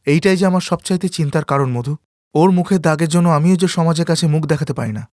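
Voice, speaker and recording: A man's voice: on the high side, fast talker, a little mellow, dry recording, studio quality, natural voice. A man voicing a resentful, worried elder brother in a cartoon family drama, complaining.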